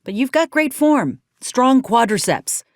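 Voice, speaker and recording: A woman's voice: high-pitched, very animated, unhurried, dry recording, heavily acted. A woman playing a tough, weathered soldier in a video game, with a folksy, dry, plainspoken manner. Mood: flirty, awkward. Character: tough, wry, weathered female soldier companion in space. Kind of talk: video game character dialogue.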